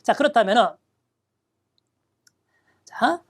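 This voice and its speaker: A woman gives an exam-prep lecture in a slightly high voice. She reads quoted phrases slowly and then explains each one step by step, keeping an instructive tone throughout. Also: lively intonation, breathy edge. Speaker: Japanese reading test instructor.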